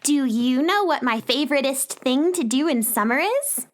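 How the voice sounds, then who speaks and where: A woman's voice: sits high, lively intonation, normal speed. An actress playing an eager, friendly young girl adventurer in a children's radio drama, chirpy and polite. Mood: polite, excited.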